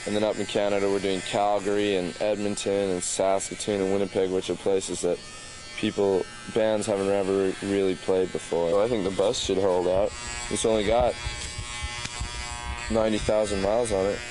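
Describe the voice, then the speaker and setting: A man's voice: on the low side, flat delivery, normal speed. Earnest young man over a buzz. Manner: earnest, rambling, thinks aloud. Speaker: punk tour organizer. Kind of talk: casual documentary interview. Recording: buzzing noisy 1980s film sound.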